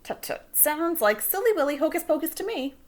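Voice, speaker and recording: Male principal, put on by a female reader: folksy, jovial fusser, animated storybook bounce, raised pitch. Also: fairly quick. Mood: soothing. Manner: folksy, bouncy rhyming slang.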